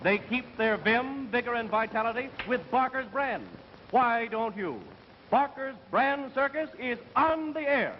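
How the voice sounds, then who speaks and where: An actor playing a harried radio announcer in a 1930s film acting style. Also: male voice, very high voice, unhurried, slight background noise. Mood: booming ballyhoo. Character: harried radio announcer reading bombastic commercials and circus ballyhoo. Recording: muffled 1930s film soundtrack, loud music.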